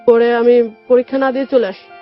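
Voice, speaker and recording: A woman's voice: a little high, level tone, fairly quick. A young woman with a Bangladeshi Bengali accent telling her life story in a colloquial way as a guest in a radio interview, often retelling conversations as dialogue. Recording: dull lo-fi radio audio. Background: music.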